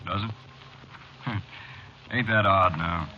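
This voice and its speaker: An actor playing a folksy, teasing, ominous bartender with a sly, drawn-out manner, in 1940s radio horror style. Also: male voice, low voice. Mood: folksy musing. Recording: dull, noisy old radio recording.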